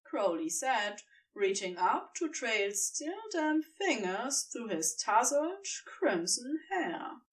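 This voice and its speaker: Female fiction narrator: tender, high-pitched, unhurried, slightly off the microphone. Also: distant mic, rough recording. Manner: expressive, tender storytelling.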